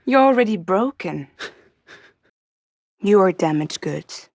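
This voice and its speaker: An actress playing a guarded, wry woman courier in a post-apocalyptic video game, with soft, breathy, measured and deadpan delivery. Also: medium pitch, dry recording, studio quality. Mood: cold, vengeful. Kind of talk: cinematic video game acting.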